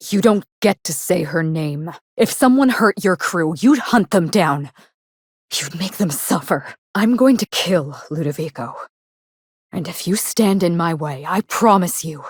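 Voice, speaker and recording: A woman playing a vengeful, theatrical actress turned assassin in a video game, speaking in a dramatic, deliberate and menacing way. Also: mid-range voice, no echo, studio quality. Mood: cold, vengeful menace. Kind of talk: scripted game dialogue.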